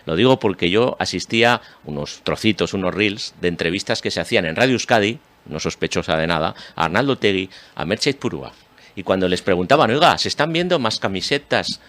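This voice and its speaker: A man's voice: low-pitched, lively intonation, a little fast, fairly bright tone. A man with an accent from Spain, hosting a panel discussion and speaking emphatically, often repeating phrases. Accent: Spanish from Spain. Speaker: host.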